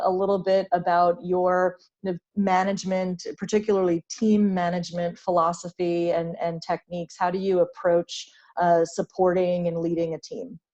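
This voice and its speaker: Mellow-voiced woman moderating a webinar. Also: medium pitch, lo-fi sound.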